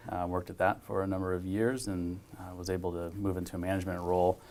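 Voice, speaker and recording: A man's voice: sits low, level tone, fast talker, a little mellow, a little hiss or hum. A young man from Nebraska, a guest on a podcast, speaking in a matter-of-fact way. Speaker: environmental program manager, podcast guest. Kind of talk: casual interview chat.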